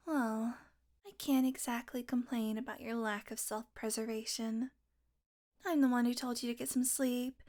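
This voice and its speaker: A woman playing a sardonic, teasing, predatory vampire with a soft spot, in an intimate, sweary audio roleplay monologue that often drops to a murmur. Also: on the high side, lightly acted.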